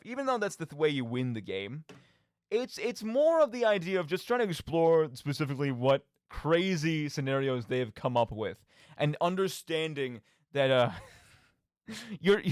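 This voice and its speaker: Animated man hosting a casual radio show. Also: on the high side, no room sound, studio quality. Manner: animated, theatrical mock outbursts, conversational asides. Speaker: solo radio show host. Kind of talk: casual rambling monologue about video games.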